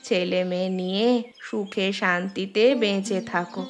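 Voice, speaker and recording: A woman's voice: mid-range voice, flat delivery, normal speed, soft background music, lightly acted. A woman voicing a tender, caring old village grandmother in a cartoon. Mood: tender farewell. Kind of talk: Bengali cartoon voice acting.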